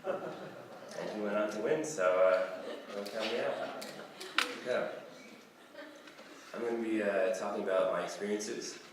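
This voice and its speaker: A hoarse young man with a very deep voice starts his conference talk on a light, joking note. He is heard from far off in a very echoey hall, with a clear hum of the room around him. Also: unhurried, long pauses. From United States. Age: young adult. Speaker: conference speaker, young priest.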